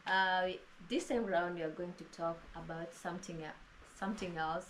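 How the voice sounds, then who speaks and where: A woman's voice: on the low side, steady tone, moderate pace, fairly bright tone. A woman hosting a casual interview with a fellow YouTuber, rambling along with plenty of fillers and restarts, recorded inside a house. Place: inside a house during heavy rain. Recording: noisy with rain outside.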